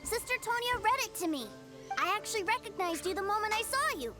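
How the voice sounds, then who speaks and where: An eager little boy from a video game. He chatters innocently in a childlike, very high voice with lots of lift and fall. Music is loud behind him. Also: lively intonation, fast. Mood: proud, confiding. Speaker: game character voice actor. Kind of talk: animated game voice acting.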